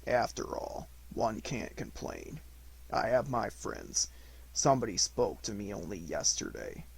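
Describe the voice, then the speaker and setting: A man voicing a gloomy, self-important old donkey with a slow, ponderous, grumbling delivery in a storybook reading. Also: lowish voice, sing-song, vocal fry, husky, pitched below the performer's own voice, heavily acted. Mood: glum, resigned.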